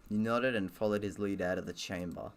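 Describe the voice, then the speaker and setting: A man's voice: lowish voice, fairly even intonation, fairly quick. A man reading a game aloud in a casual let's-play style, breaking off for self-deprecating, sweary asides.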